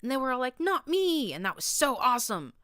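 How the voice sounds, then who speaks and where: Shrill, gleeful young mare pony. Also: female voice, very animated, a little fast, fairly bright tone, dry recording, clean studio sound. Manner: shrill, gushing, bouncy retelling.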